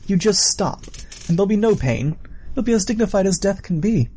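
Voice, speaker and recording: A man's voice: high-pitched, animated, a little fast, dark tone, breathy edge, voice pushed up. A man voicing a sadistic, playful villain in an audiobook story, musing and taunting in a theatrical way. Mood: coaxing threat. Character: sadistic, playful adult male killer, theatrically menacing villain.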